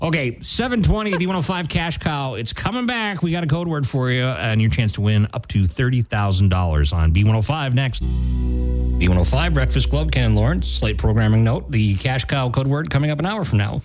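A man's voice: medium pitch, expressive, brisk, a little mellow, faint music behind. A man with an American accent co-hosting a morning radio show, chatting casually in a rambling way and often correcting himself mid-sentence. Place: radio studio.

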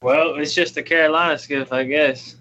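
An eighteen-year-old young man with a bright, slightly higher voice, answering in short, matter-of-fact replies. The sound is a little muffled, with faint noise underneath. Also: steady tone, normal speed. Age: teens. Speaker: guest, young business partner. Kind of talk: casual interview answers.

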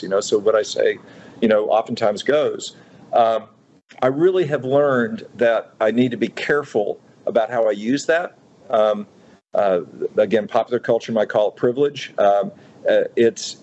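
A man in his fifties from Utah, a restaurateur speaking as a guest in a radio interview, thoughtful and halting with many fillers and restarts. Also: on the low side, darkish tone, lo-fi sound, a little hiss or hum. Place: radio studio.

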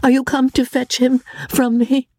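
A woman doing a high, distraught young woman. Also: flat delivery, moderate pace, a little mellow, no echo, clean studio sound. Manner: wild, pleading, tearful.

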